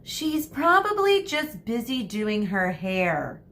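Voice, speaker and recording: A woman's voice: a little high, sing-song, slow, fairly bright tone, slight background noise, pitched above the performer's own voice, full character voice. A woman voicing a snide schoolgirl from a children's storybook, whining and mocking as she complains. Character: snide, whiny schoolgirl tattling on a classmate.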